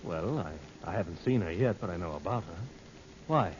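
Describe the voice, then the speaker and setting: A dull, noisy vintage radio recording of a skeptical male tourist. His delivery is animated and offhand, teasing and probing as in an adventure serial. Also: low voice, normal speed. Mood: casual prying. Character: breezy, skeptical male Western tourist, curious and prying. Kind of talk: old-time radio adventure serial acting.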